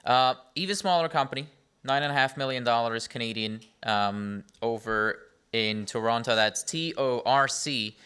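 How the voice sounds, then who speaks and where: A man's voice: medium pitch, moderate pace, bright, forceful tone, clear, resonant tone. A man hosting an event, casually introducing the next speaker at a conference, with some fillers. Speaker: event host. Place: conference hall.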